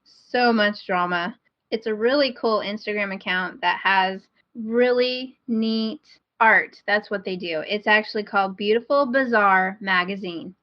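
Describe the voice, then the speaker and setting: A woman's voice: medium pitch, a little slow, no room sound, highs cut off. A woman co-hosting a podcast in casual, informal, self-deprecating chat. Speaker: podcast co-host.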